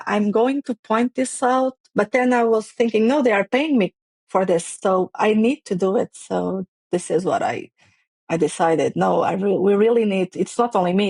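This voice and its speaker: Woman, English, hesitant, restarts, mid pitch, dry sound. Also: gravelly, darkish tone, no room sound. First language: Portuguese. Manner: hesitant, many restarts and fillers, non-native phrasing. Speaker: guest, playwright and facilitator. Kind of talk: conversational interview.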